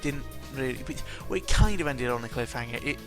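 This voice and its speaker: A man's voice: mid-range voice, expressive, a little fast. A man giving a casual, jokey audio log about video games, rambling and interrupting himself with exaggerated emphasis. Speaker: video game vlogger. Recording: music playing behind the voice. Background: clear background game music.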